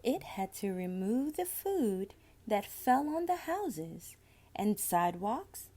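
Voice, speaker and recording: A woman reading a children's picture book aloud in an expressive, deliberate storytelling manner with dramatic pauses. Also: medium pitch. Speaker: storybook reader.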